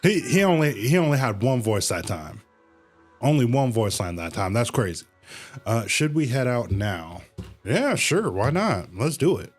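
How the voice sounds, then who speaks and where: A man's voice: medium pitch, big pitch swings, measured pace, faint music behind. A man hosting a casual game livestream, rambling along and addressing his viewers as y'all. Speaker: livestream host. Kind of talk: casual game stream commentary.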